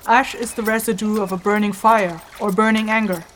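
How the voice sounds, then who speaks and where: Woman playing feathered fantasy ritual leader lady, medium pitch, teacherly and easily fed up, bit of noise behind. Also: steady tone, lightly acted. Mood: patient, instructive. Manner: measured, instructive, easily exasperated. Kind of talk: fantasy audio drama acting.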